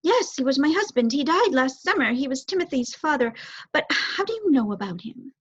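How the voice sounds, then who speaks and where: A woman's voice: sits high, big pitch swings, brisk, pitched above the performer's own voice. A woman voicing a nervous, polite mother mouse in a storybook. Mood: anxious, puzzled. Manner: polite, anxious. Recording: dull, lo-fi close recording.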